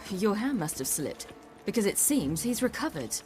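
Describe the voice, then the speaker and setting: A woman's voice: mid-range voice, very animated, moderate pace, darkish tone, faint music behind, lightly acted. An actress playing a resolute young woman warrior bent on payback in a fantasy video game.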